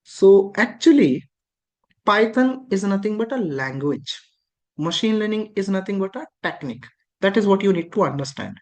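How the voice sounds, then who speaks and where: Instructor, patient and repetitive, mid pitch. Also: sing-song, normal speed. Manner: patient, repetitive explaining with frequent rhetorical questions. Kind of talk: explaining a lesson.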